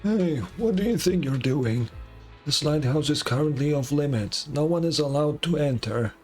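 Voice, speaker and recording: A man's voice: on the high side, animated, moderate pace, soft background music, close to an everyday voice. A man voicing a stern, scolding old lighthouse keeper from a video game. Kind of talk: reading game dialogue aloud.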